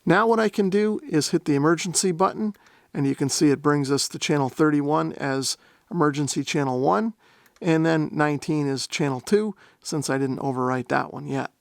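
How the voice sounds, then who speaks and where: Guy doing a gear demo, kinda high voice with some fry, really dry close sound, explains step by step. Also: moderate pace, no room sound. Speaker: host of a radio gear review channel. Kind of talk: product demonstration narration.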